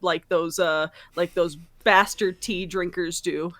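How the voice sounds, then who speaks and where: A woman's voice: lowish voice, slow pace. A woman co-hosting a casual podcast, bantering in a jokey, sweary way and telling little stories. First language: French-speaking ability alongside English. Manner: sweary, jokey, animated storytelling with acted quotes. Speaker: podcast co-host.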